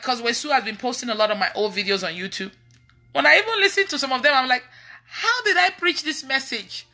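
Female online preacher, Nigerian accent, thirties. Forceful, slightly high voice, chatty testimony style, emphatic. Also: creaky. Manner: animated, emphatic, repeats phrases for emphasis. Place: indoors in a room at home.

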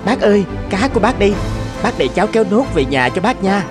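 A man's voice: sits high, fast talker. A man voicing a kind, earnest young hero in a cartoon fairy tale. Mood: friendly. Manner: earnest, animated. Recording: loud music under the voice.

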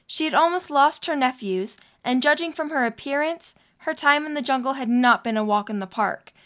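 A woman's voice: high voice, expressive, normal speed, fairly bright tone. A woman reading scripted story narration as the narrator of an audio drama.

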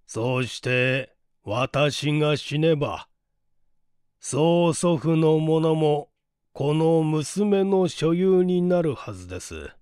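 A middle-aged gentleman as a man acts him explains himself with troubled courtesy in a mystery audiobook. The voice is fairly high and resonant, the delivery slow and formal, in a dry close recording. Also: clear, resonant tone, no echo. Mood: earnest, troubled.

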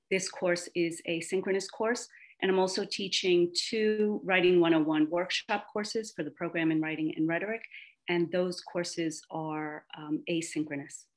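Woman lecturer panelist, lowish voice, orderly delivery. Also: low-quality recording. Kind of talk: casual panel talk on video call. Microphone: video call.

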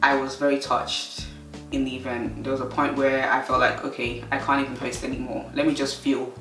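Young woman, Nigerian accent, earnest soft vlog confessional, flat delivery, roomy distant mic. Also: very deep voice, fairly quick, faint music behind. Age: young adult. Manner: earnest, soft-spoken, faith-filled. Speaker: vlogger. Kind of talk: reflective sit-down vlog talk. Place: living room, indoors. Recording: roomy sound, mic set back.

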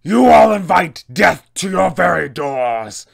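Man acting out a tormented, raised-pitch villain brute. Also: big pitch swings, takes it slowly, creaky, exaggerated voice. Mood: furious, threatening. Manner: dramatic, theatrical delivery. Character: hulking, tyrannical, tormented male fantasy villain.

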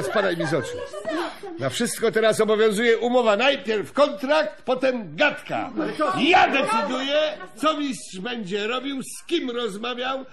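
An actor playing a crude, domineering gangster-like manager in a radio drama, bossy, coarse and cynical. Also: male voice, very high-pitched, gravelly, heavily acted. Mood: angry, commanding.

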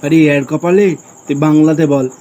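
A man voicing a gruff, suspicious older village uncle in an amateur comedy cartoon, blunt and colloquial. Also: fairly high pitch, fairly even intonation, normal speed, warm tone, natural voice. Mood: haggling, dismissive. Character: grumpy, suspicious older village uncle who buys gold.

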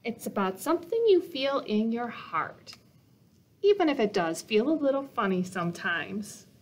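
A woman in her thirties reading a picture book aloud for children as a storytime host, expressive and deliberate. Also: on the high side. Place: small indoor reading corner.

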